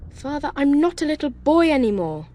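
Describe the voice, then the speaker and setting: A woman's voice: high-pitched, very animated, a little fast, dark tone. A woman playing a polite, eager young boy in a dramatised audio adventure. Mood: pleading, earnest.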